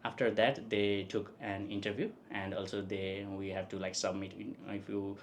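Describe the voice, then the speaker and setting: A Nepali-born man in his thirties with a lowish voice speaks as an interview guest, with Nepali as his first language. He talks in a halting way, full of fillers and tag questions. A faint hiss sits behind him. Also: level tone. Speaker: guest, statistics professor. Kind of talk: casual interview.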